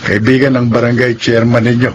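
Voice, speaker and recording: An actor playing a generous but stern resort owner in a radio drama, with an authoritative manner. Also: male voice, mid-range voice, little pitch movement, fairly quick, muffled recording, close to an everyday voice. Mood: genial, persuasive. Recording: faint background noise.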